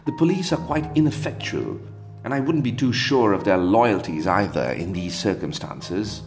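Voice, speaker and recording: A man's voice: on the low side, a little mellow. A man reading aloud voices an anxious, deferential British equerry who speaks politely and hesitantly, with faint guitar music behind. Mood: cautious. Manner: polite, hesitant, keeps saying sir.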